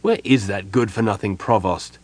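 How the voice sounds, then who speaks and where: A man's voice: lowish voice, moderate pace, a little mellow, lightly acted. A man playing a sour, unimpressed armored guard in a fantasy audiobook, caustic, grim and terse. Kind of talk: audiobook character voice.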